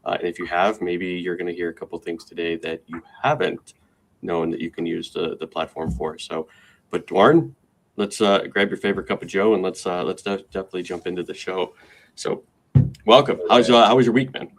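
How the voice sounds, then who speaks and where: A man's voice: low voice, steady tone, a little fast. A man co-hosting a business podcast, chatting in an upbeat, loose way with lots of fillers and restarts. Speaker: podcast co-host. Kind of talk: casual chat.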